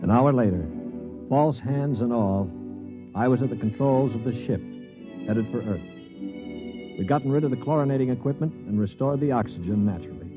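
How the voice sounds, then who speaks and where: An actor playing a cynical, weak-handed captive aboard a spaceship in a 1950s radio drama, with dramatic delivery. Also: male voice, mid-range voice, normal speed, long pauses, lightly acted. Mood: reflective. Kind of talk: 1950s radio drama acting with first-person narration. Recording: muffled 1950s radio recording with music.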